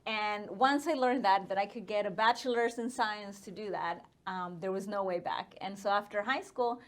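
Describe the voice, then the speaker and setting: A woman's voice: medium pitch, normal speed. A woman in her thirties from northern Mexico, with a Mexican accent, talking casually as an interview guest with frequent fillers. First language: Spanish. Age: 30s. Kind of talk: casual conversational storytelling.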